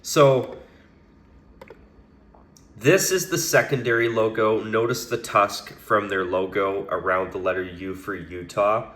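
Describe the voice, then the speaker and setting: A man's voice: lowish voice, measured pace, a bit bright, roomy sound. A man giving casual, conversational opinion commentary as a hockey vlogger, hedging now and then with fillers.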